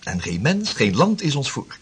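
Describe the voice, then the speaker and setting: An actor playing a young sixteenth-century sea explorer, eager and tender, in a radio play. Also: male voice, mid-range voice, wildly swooping pitch, moderate pace, slightly rough, heavily acted. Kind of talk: radio drama acting.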